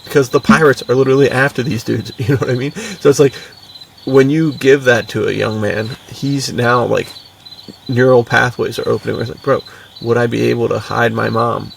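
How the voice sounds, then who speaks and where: A man's voice: medium pitch, vocal fry. A man chatting casually as a podcast co-host, rambling and enthusiastic, with plenty of slang. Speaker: podcast co-host and fiction writer. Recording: distant mic with faint background noise.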